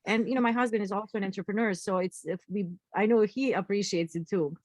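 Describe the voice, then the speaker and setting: Halting woman, dry sound. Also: medium pitch, a little mellow, dry recording. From Croatia. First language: Croatian. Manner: halting, many fillers and restarts, laughs easily. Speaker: interview guest, entrepreneur. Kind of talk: casual interview chat.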